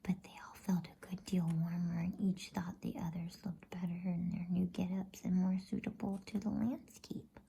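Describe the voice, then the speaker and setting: A woman reading a bedtime story in a soft, breathy, soothing ASMR whisper, unhurried and gentle. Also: fairly low pitch, little pitch movement. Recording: faint background noise.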